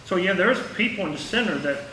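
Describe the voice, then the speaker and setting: A man with a Midwestern American accent and a bright, forceful, slightly high voice gives an informal talk. He rambles and halts as he reminisces, jumping from thought to thought. He is picked up from a distance in a roomy space. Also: animated. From small-town Indiana, United States. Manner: rambling, halting, jumps between thoughts. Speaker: retired air traffic controller giving a talk. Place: echoey meeting room at a historical society. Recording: roomy, distant mic with faint noise.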